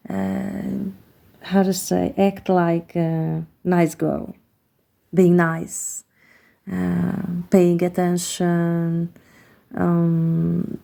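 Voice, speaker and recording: Slow, gravelly Hungarian-accented woman. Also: lowish voice, dark tone. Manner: hesitant, searching for words with many pauses. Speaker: tarot card reader. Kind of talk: slow, reflective card reading.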